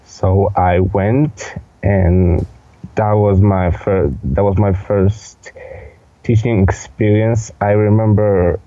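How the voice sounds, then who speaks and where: An eighteen-year-old man with a Polish accent, giving casual interview answers in a hesitant, halting way with repeated words. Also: fairly low pitch, flat delivery, mellow, dry recording, dull audio. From Poland. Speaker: podcast guest, dance instructor.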